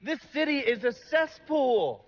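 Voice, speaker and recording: An actor playing a cynical, brash, foul-mouthed criminal, sardonic and blunt, in a cinematic game. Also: male voice, very high voice, very animated, a little slow, lo-fi sound, background noise, exaggerated voice. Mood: scornful.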